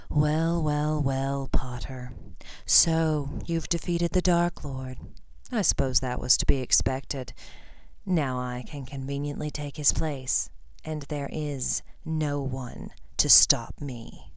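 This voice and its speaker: A woman voicing a cruel, sneering older male wizard villain in a low, cold voice. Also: fairly even intonation, slow pace, a little mellow, dry recording.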